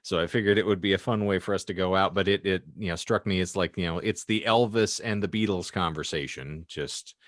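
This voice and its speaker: A man's voice: sits low, a little fast, few pauses, fairly bright tone, no echo, clean studio sound. A man co-hosting a casual panel podcast, talking in wordy, florid turns of phrase. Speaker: podcast co-host.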